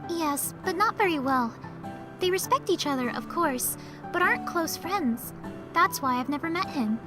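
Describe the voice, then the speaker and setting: A woman's voice: extremely high pitch, expressive, normal speed. A woman voicing a polite, enthusiastic schoolgirl teammate in an anime visual novel, with music behind her. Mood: earnest.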